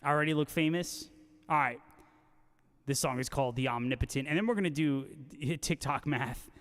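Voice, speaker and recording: A man's voice: medium pitch, a little fast, fairly bright tone. A man hosting a casual guitar livestream, reading out viewer comments and bantering with joking, slangy talk. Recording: long hall-like reverb on voice.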